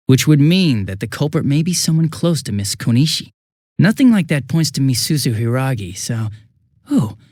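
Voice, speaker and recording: Bumbling young American detective, very animated. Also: male voice, fairly high pitch, no room sound, studio quality. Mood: nervous, blabbing. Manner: sheepish, stammering, blabbing, casual. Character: bumbling, chatty young male police detective, goofy and nervous. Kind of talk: English video game dub voice acting.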